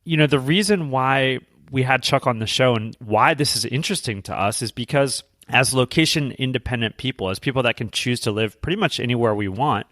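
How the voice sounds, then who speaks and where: A man's voice: mid-range voice, fairly bright tone, no echo. Casual male co-host, dry studio-like sound. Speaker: podcast co-host. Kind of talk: casual conversational commentary.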